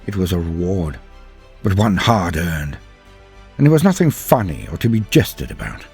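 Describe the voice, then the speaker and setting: Male narrator for dramatic fiction: very low, rough-edged voice, grave and theatrical delivery over faint music. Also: very animated, normal speed, a little mellow. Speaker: storyteller narrating fan fiction. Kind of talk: dramatic scripted first-person narration.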